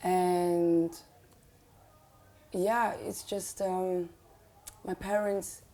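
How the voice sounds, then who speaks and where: Woman, young, talks real slow, soft mellow voice, lots of ums. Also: lowish voice, little pitch movement. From Switzerland. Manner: unhurried and hesitant, with many "um"s. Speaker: musician guest. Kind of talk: casual conversation.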